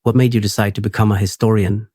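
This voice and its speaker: A man hosting a sleep podcast, reading a script in a steady, unhurried, soothing way. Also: on the low side, breathy edge, no echo, clean studio sound.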